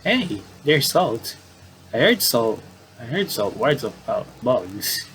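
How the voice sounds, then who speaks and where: A man doing casual game commentary, reading on-screen dialogue aloud and adding halting asides. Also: medium pitch, big pitch swings, a bit bright, slight background noise, low-quality recording. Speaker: gamer doing playthrough commentary.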